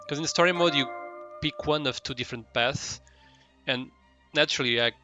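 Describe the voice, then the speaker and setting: A man's voice: medium pitch, a little fast, muffled recording, over music. A man doing casual live commentary as a game streamer, rambling and hesitant as he searches for his words.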